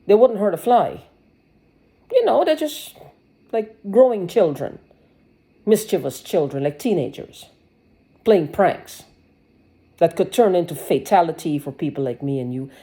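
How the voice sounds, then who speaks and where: A woman's voice: fairly low pitch, big pitch swings, a little slow, long pauses, dark tone. A woman giving a rambling personal video monologue, agitated and sarcastic, swearing and restarting her sentences. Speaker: vlogger.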